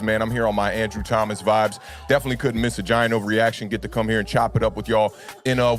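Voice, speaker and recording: A young adult man with a deep baritone voice, under the weather, hosting a casual sports livestream and chatting with fans. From United States. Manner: laid-back, repeats "man" and "y'all know what it is". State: under the weather, deeper voice than usual. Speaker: sports talk livestream host.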